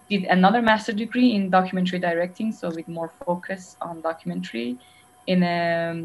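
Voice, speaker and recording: Thoughtful Iranian woman filmmaker, low voice. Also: low-quality recording. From Iran. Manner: thoughtful, measured, frequent pauses and restarts. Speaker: documentary filmmaker guest. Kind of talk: reflective interview answers.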